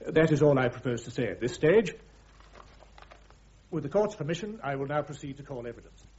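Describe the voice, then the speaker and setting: Through slightly muffled radio drama audio comes an actor as a British army prosecutor. His male voice moves widely in pitch as he sets out a case with formal, measured precision. Every phrase is deliberate legal oratory. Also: lively intonation, darkish tone, natural voice. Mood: solemn, emphatic. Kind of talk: radio drama courtroom speech. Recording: dull, band-limited radio drama recording.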